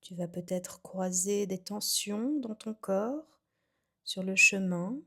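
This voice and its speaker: A woman guiding a relaxation session as a yoga nidra instructor, soothing and unhurried, with phrases that trail off softly. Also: medium pitch. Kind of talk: guided meditation, calm instruction.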